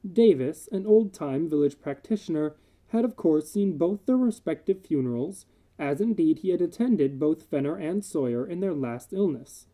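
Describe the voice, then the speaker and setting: A narrator giving a measured, solemn dramatic reading of literary horror fiction. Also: medium pitch, animated, dark tone.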